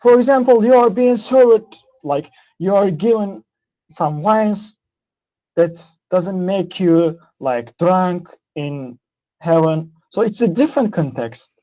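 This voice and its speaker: A man's voice: high-pitched, expressive, slow pace, mellow. Hesitant man on a dull line. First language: Turkish. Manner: hesitant, defensive, searching for words. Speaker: caller. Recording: dull lo-fi call audio.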